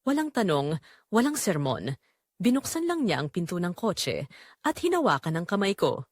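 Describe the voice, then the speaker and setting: A woman with a Filipino accent narrates a dramatic first-person romance story in a measured, expressive way. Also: fairly low pitch, dry recording, clean studio sound.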